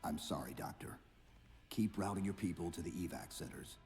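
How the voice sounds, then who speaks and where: A stoic armored soldier in a video game, issuing clipped, terse orders. His voice sits very low and steady. Long reverb trails each line in the cutscene mix. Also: normal speed, creaky, faint music behind.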